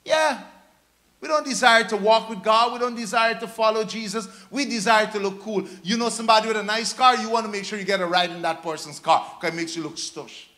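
Guyanese man, pastor at the pulpit. Very high-pitched, forceful delivery, exhorting and driving phrases home by repetition. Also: fairly quick. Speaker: pastor preaching. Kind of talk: sermon. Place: church stage, indoors. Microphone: handheld microphone.

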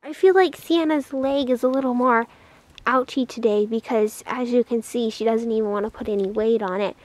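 A woman's voice: a little high, moderate pace, a little mellow. A woman narrating a casual horse vlog in a chatty way, speaking fondly of her animals. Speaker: horse vlogger.

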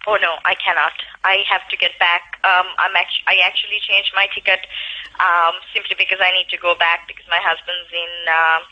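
A woman's voice: lowish voice, level tone, brisk, bright, forceful tone. A woman answering a prank call on the phone, polite but puzzled and firm. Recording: dull telephone line.

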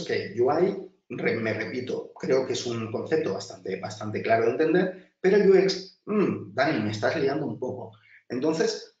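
A man teaches a design class over slides, chatty and full of restarts. His voice sits at an everyday middle pitch. It comes a distance into a roomy space, with dull, muffled audio. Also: a little mellow. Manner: chatty, many restarts and filler, addresses class directly. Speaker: design instructor. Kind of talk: webinar lecture over slides.

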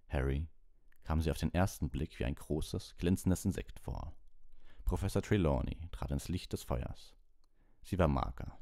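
A man reading an audiobook, telling the story in measured, expressive literary narration. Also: rumbling low, vocal fry, no echo, clean studio sound.

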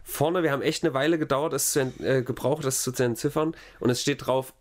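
A male podcast host talks casually at a medium pitch. He rambles through his anecdotes with a sardonic edge, as if chatting with a friend. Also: fairly even intonation, brisk.

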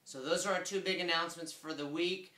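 A man's voice: fairly high pitch, steady tone, fairly quick, bright, forceful tone, reverberant. A man in his thirties giving a casual, upbeat weekly update to camera from a small office, talking with lots of hand gestures. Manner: upbeat, gestures a lot with both hands. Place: small office room with desk and chairs. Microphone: fixed camera a few feet away.

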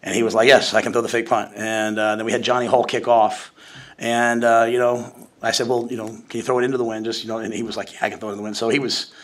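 Fast-talking coach at a podium. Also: male voice, mid-range voice, level tone. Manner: rapid, conversational, many restarts and fillers. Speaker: head football coach. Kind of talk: postgame press conference. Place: press conference room, at a podium. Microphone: podium mic.

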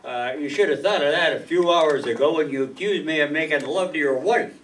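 Higher-voiced indignant elderly gentleman played by a man. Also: fairly quick, natural voice. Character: indignant elderly male swindle victim. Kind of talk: 1940s radio drama acting. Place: live stage reading in a meeting room. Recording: distant mic, noisy hall.

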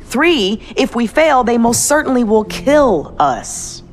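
Expressive, skeptical young woman. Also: fairly high pitch, takes it slowly, slight background noise. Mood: skeptical, exasperated. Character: sharp, skeptical, principled young woman, reluctant accomplice. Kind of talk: video game cutscene acting. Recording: loud music under the voice.

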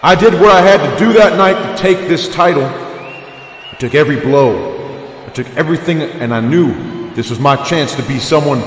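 A defiant drifter-turned-champion wrestler, voiced by a man cuts a blunt promo in a wrestling game, swearing freely. His voice sits a little high, and it rings out with a roomy echo and a long reverb tail. Faint ambience hums underneath. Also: moderate pace, a little hiss or hum, natural voice.

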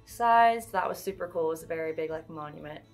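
A woman's voice: low-pitched, fairly quick, faint music behind. A young woman design student from Indiana, talking casually with fillers. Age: young adult.

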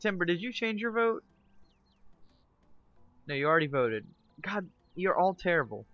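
A male game streamer in casual live chat with viewers, excitable and teasing, laughing often. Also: high voice, moderate pace, no room sound, lo-fi sound, soft background music.

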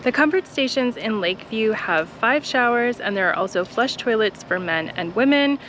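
A young woman vlogger talking to a handheld camera outdoors in a wooded park, giving a clear, practical tour of a campground with friendly tips. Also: fairly high pitch, lively intonation, moderate pace, fairly bright tone, faint music behind. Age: young adult. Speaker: travel vlogger touring a campground. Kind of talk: informative park tour narration. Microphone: camera held at arm's length.

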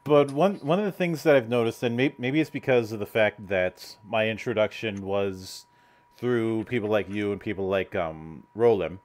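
A man hosts a relaxed interview, his voice lower than usual. He asks long, meandering questions filled with ums and restarts. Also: normal speed. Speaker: interview host, vtuber. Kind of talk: casual interview over a call.

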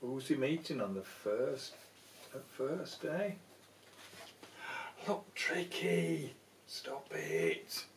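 A man's voice: mid-range voice, big pitch swings, extremely slow, pauses often, a little hiss or hum. A man in his sixties, a grandfather playfully coaxing small children along as he tells them a story. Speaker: grandfather reading a picture book to grandchildren. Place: small indoor room at home. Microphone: camera a few feet away. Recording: roomy echo, a little far from camera.

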